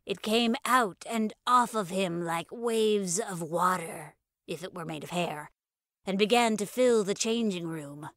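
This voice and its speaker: A woman acts a wise, cryptic mystic woman in an audio drama. Delivery is slow and solemn at a middle pitch, with a slight rasp and a dry, close sound. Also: a bit bright, dry recording. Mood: grave, haunted. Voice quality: slightly raspy. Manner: measured, solemn, cryptic storytelling. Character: Zelda, wise, raspy, unflappable woman mystic. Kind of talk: audio fiction acting.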